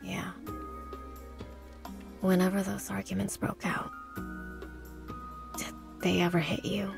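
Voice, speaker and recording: A woman's voice: mid-range voice, flat delivery, slow, creaky, music in the background. A woman voicing a tough, guarded but kind teenage girl in a visual novel, with a blunt, sardonic delivery. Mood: subdued.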